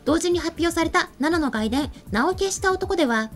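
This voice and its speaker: A woman's voice: very high voice, animated, normal speed, soft background music. A young woman presenting a web show in a polite, orderly way, moving between scripted announcements and light chat. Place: small indoor streaming studio. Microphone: desk microphone.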